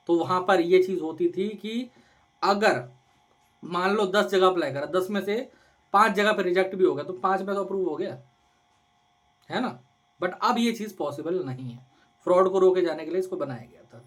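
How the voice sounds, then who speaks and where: A man with a sore throat, explaining things informally and reassuringly, often asking and answering his own questions. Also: high-pitched. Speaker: loan advice video host. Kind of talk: informal explainer to camera audience.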